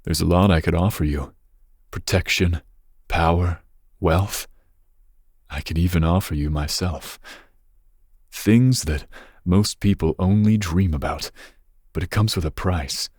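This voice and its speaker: A man playing a dangerous, possessive young mafia boss's son in an intimate ASMR roleplay, speaking breathily with smooth, teasing menace. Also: unhurried, long pauses, a little mellow, no echo, clean studio sound, heavily acted. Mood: persuasive, calculating. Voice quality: breathy, husky low voice. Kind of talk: ASMR roleplay, intimate second-person monologue.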